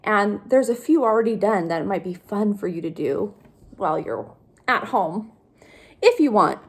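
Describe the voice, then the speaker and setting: A woman teacher reading a picture book aloud, pausing for simple explanations and questions to young listeners. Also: medium pitch, expressive, breathy edge.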